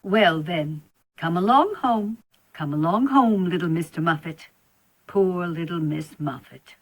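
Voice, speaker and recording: Woman playing a kindly, husky-voiced nursemaid. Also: lowish voice, measured pace. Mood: soothing. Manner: gently instructing, indulgent. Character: kindly, reassuring nursemaid of a little girl. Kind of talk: theatrical children's storytelling.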